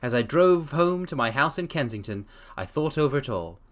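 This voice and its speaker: A man streaming a dramatic reading of a story, breaking off to chat excitedly, often stammering and restarting his sentences. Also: mid-range voice, moderate pace.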